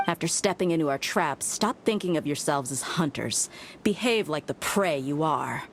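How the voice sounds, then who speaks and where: A low, menacing huntress. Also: female voice, expressive, moderate pace, background noise. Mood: coolly taunting. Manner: taunting, confident, controlled. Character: cool, menacing female hunter taunting her prey. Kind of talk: dramatic video game voice acting.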